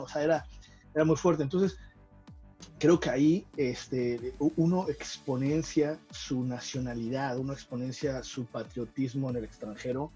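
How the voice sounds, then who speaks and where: Mellow-voiced Mexican man, halting speech. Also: mid-range voice. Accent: Mexican Spanish. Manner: hesitant, many restarts and fillers. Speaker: guest, traveling football fan. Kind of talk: casual interview talk.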